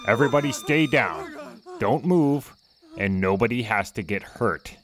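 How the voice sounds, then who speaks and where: A man performs a threatening henchman in an audio drama about witch hunters. The voice is very deep, with a gruff and menacing delivery. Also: animated, unhurried, a bit bright.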